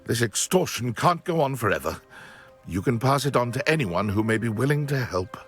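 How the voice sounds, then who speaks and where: A man's voice: mid-range voice, animated, moderate pace, soft background music, natural voice. An actor voicing a shaken, resigned male victim in a video game cutscene.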